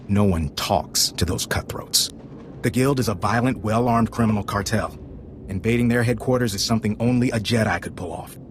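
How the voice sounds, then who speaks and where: A man's voice: fairly low pitch, moderate pace, a little hiss or hum, close to an everyday voice. A man playing a grave intelligence agent in a video game, giving clipped, matter-of-fact briefings. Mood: grave, firm. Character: businesslike male intelligence agent, terse and grave. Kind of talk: video game dialogue acting.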